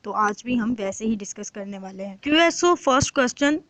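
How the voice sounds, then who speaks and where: A woman with a Pakistani accent giving an explanatory exam lecture as an instructor, mixing in English terms and reading questions out slowly and deliberately. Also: a little high, expressive, fairly bright tone, no echo.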